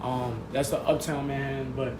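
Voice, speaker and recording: A man's voice: mid-range voice, flat delivery, normal speed. A young man with a New York accent chatting casually in heavy slang, often checking that he is understood. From New York City. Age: young adult. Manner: slangy, frequent check-in tags. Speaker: podcast guest. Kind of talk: casual conversational interview. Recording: roomy echo, clear background noise.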